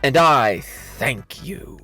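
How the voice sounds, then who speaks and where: A man's voice: medium pitch, lively intonation, drawn-out words, sharp tone, slightly rough, no room sound, soft background music, pitched below the performer's own voice, exaggerated voice. A man putting on a condescending, defensive game company boss in a mocking dramatic reading, with exaggerated sincerity. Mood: gushing sincerity.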